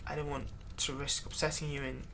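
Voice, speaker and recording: A woman playing a long-serving, exasperated human servant of a vampire in a dramatic roleplay monologue, halting and sardonic. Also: sits low, flat delivery, creaky, a bit bright, a little hiss or hum. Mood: wounded, menacing. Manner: conversational, halting, sardonic, emphatic when aggrieved. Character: long-serving human thrall, exasperated, pleading, turning menacing toward vampire master. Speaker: roleplay audio performer.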